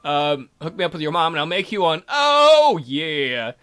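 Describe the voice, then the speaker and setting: Bright, high-voiced man joking through a game. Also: fairly even intonation, fast talker. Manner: crude, irreverent joking. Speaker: let's play host. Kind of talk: reading a visual novel aloud with joking asides.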